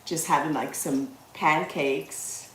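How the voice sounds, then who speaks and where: A woman's voice: low voice, steady tone, takes it slowly, a bit bright, echoey room, a little hiss or hum, poor audio. A woman, chatting casually with viewers as she cooks on a livestream, laughing easily. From Guyana. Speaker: livestream cooking host. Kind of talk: casual livestream cooking chat.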